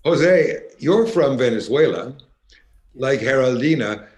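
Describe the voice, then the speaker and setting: The man who steps up between numbers at a cultural evening to introduce the next performer, courteous and measured, in a medium-pitched voice. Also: expressive, a little mellow. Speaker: concert host. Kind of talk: hosted concert introduction and interview.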